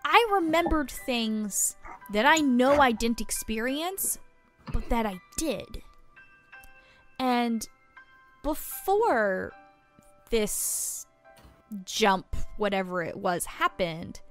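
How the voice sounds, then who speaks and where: A woman playing an earnest, patient small-town reporter in an audio drama, explaining things in a measured, reflective way. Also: fairly high pitch, expressive, a bit bright, soft background music, exaggerated voice. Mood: reflective, confiding. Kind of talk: audio drama dialogue, naturalistic.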